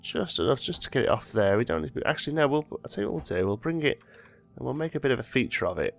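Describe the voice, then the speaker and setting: A man's voice: mid-range voice, very animated, very fast, creaky, soft background music. A man with a slight head cold, hosting a let's play and thinking aloud as he builds, often correcting himself mid-sentence. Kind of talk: casual gameplay commentary.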